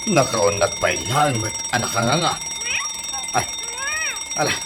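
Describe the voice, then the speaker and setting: A man's voice: mid-range voice, expressive, a little slow, very noisy, natural voice. A man with an Ilocano Filipino accent playing a grumbling, advice-giving household elder in a comedy radio drama. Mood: exasperated. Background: background music.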